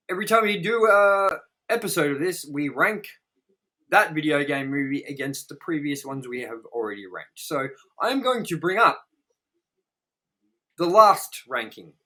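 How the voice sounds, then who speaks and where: Bright-voiced man, blunt and sweary. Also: on the high side. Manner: blunt, sweary, exaggerating for effect. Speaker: co-host of a movie review show. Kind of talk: casual opinionated film review chat.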